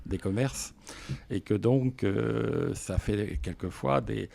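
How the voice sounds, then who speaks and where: A man speaks as a local official being interviewed. His voice is fairly low and mellow, with marked fry. He explains in a measured, halting way, stopping often to find the next phrase.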